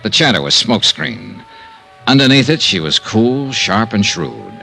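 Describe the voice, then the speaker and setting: A man's voice: fairly low pitch, expressive, unhurried, gravelly, pushed and bright, heavily acted, poor audio. An actor playing a wry, hard-boiled male investigator in a vintage radio detective drama, clipped and dramatic. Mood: hard-boiled, brooding. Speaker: narrator and lead character. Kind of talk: 1950s radio detective narration and acting. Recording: vintage radio recording with music.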